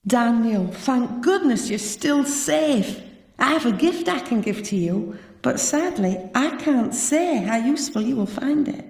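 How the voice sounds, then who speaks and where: An actress playing a kindly, worried spirit who offers a gift in a video game cutscene. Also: female voice, fairly high pitch, animated, a little slow, darkish tone, echoey room. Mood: gentle.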